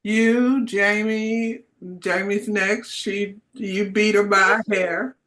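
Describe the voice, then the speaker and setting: A woman hosting a video call meeting, greeting people warmly and talking in a rambling, affectionate way. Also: mid-range voice, little pitch movement, slow pace. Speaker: meeting host.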